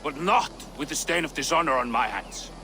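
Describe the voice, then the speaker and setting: An actor playing a gruff, surly old Viking warrior in a video game, blunt and sardonic, with a gravelly voice. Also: male voice, fairly high pitch, lively intonation, fairly quick, bright, forceful tone, slight background noise, exaggerated voice. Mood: blunt, defiant. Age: older. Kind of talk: video game dramatic acting.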